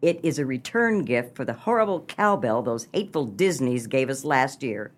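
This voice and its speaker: A woman's voice: extremely low pitch, animated, normal speed. A woman acting a bossy, fussy, melodramatic wife and mother in a comic radio play, with a theatrical delivery. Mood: impatient. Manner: theatrical, dramatic.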